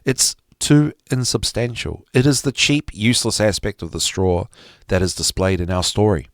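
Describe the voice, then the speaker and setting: A man giving an expressive, emphatic reading of a psychology lecture, with deliberate phrasing that drops dramatically at the ends of phrases. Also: lowish voice, no echo, clean studio sound. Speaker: podcast host reading aloud from a psychology text.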